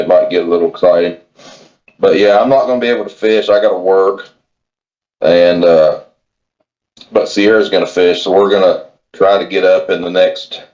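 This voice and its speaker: Over slightly muffled call audio comes a man with a very deep voice. He is from West Virginia and speaks as a guest in a plainspoken, folksy, casual manner. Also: a little mellow. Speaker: podcast guest, kayak angler. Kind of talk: casual chat, informal advice.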